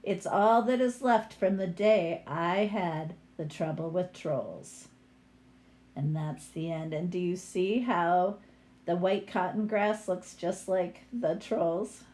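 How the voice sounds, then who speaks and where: A woman's voice: on the low side, a little mellow. A woman reading a picture book aloud as a teacher would, with explanatory asides to young listeners. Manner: unhurried, explanatory.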